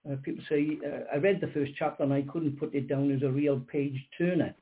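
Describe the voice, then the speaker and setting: A man's voice: on the high side, fairly even intonation, brisk, breathy edge. An older man, a guest poet in a radio interview, speaking hesitantly with many ums and repeated words. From Wales. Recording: narrow phone-line sound.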